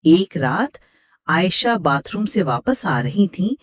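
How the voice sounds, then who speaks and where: A woman's voice: lowish voice, big pitch swings, takes it slowly, no echo, clean studio sound. A woman with a Pakistani accent hosting and reading a scripted horror story. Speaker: host, horror story narrator.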